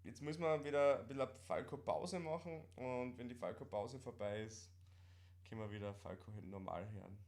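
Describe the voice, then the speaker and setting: A man's voice: medium pitch, fairly quick, a little mellow. Austrian man bantering casually in dialect. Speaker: live stream co-host. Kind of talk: casual banter on a live stream.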